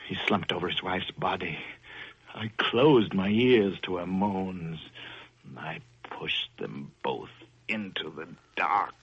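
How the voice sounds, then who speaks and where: An actor playing a guilt-haunted, paranoid shipwreck survivor in intense, melodramatic old-time radio suspense. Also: male voice, low-pitched, slow pace, a bit bright, breathy edge, heavily acted. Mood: haunted, horrified. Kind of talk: old-time radio suspense acting, first-person narration. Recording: muffled vintage radio recording with music.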